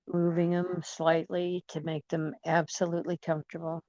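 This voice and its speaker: A woman leading guided imagery in a soothing, gentle manner, leaving long pauses between phrases. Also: on the low side, level tone, no echo, highs cut off. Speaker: guided meditation leader.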